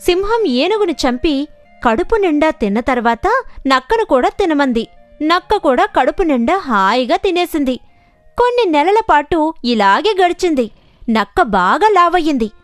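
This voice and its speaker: A woman tells animal fables for children in a scripted storytelling style. Her delivery is lively, with faint music playing behind her. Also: high-pitched, moderate pace, no echo.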